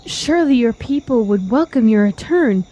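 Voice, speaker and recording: A woman's voice: fairly high pitch, lively intonation, moderate pace, dark tone. A woman playing a naive, yearning young traveler in a fantasy audio drama, speaking in a wide-eyed, wistful, formal way. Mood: awed.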